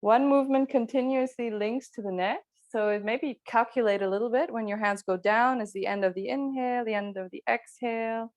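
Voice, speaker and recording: A woman teaching yoga, giving calm, unhurried and gently encouraging guidance through movement and breathing. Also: on the high side. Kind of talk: guided slow movement and breathing instruction.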